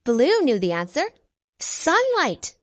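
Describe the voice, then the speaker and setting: A woman's voice: high-pitched, big pitch swings, normal speed, no room sound, dull audio. A woman narrating a children's book aloud, expressively and with careful enunciation. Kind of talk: read-aloud storytelling for young children.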